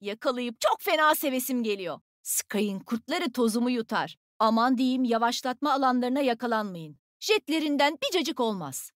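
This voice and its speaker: A woman playing a cocky, agile young female fighter in a video game, energetic, playful and teasing. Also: a little high, moderate pace, hardly any pauses, no room sound, studio quality, lightly acted. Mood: excited, praising. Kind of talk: game character voice lines, short combat callouts.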